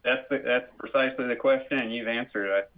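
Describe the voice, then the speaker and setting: A man's voice: medium pitch, steady tone. Courteous chairman on a tinny line. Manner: measured and courteous, with fillers and repeats. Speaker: commission chairman running a public meeting. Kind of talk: formal meeting procedure. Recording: tinny phone-like audio.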